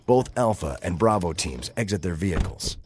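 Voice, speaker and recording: A man's voice: low-pitched, animated, moderate pace, darkish tone, a little hiss or hum. A man narrating a military thriller audio drama in a grave, scripted storytelling style.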